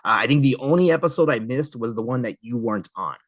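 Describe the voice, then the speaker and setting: A man's voice: mid-range voice, animated, quick pace, dry recording, clean studio sound. A middle-aged American man hosting a casual podcast conversation. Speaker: podcast host.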